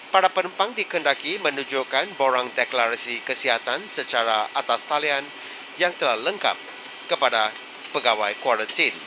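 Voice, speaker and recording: A man reading scripted cabin crew announcements in a formal, measured manner. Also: mid-range voice. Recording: loud aircraft cabin background noise.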